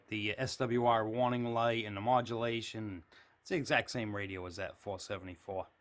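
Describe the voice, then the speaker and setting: A man's voice: medium pitch, fairly bright tone. A man giving a casual, unscripted show-and-tell as a hobbyist, speaking in a plain, matter-of-fact way behind a handheld camera indoors. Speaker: CB radio hobbyist giving a tour of his radio shack. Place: indoor room with shelves of radios.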